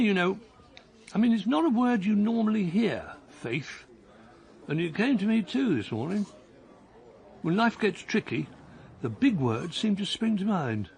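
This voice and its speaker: A man's voice: high voice, exaggerated voice. An actor playing a mild, self-deprecating retired man on a long walk, hesitant and chuckling as he talks. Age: older. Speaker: lead character. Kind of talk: radio drama acting.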